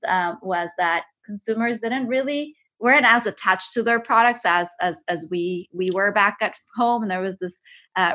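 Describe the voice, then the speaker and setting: A middle-aged woman who grew up in Ecuador talks as a guest on a podcast. Her voice sits at an ordinary pitch for a woman. She tells her story thoughtfully, with many "um"s and restarted phrases. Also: a bit bright. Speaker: guest, company co-founder. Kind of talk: podcast interview.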